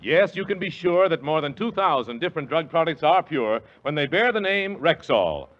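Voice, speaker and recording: A man announcer reading a scripted radio commercial as a smooth, emphatic sales pitch. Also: sing-song, moderate pace, fairly bright tone, faint music behind. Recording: dull lo-fi 1940s radio.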